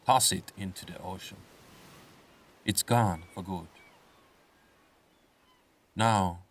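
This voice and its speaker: Slow, low-voiced man guiding meditation. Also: far from the mic, slight background noise. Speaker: guided meditation narrator. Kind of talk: slow scripted guided meditation with comic swearing.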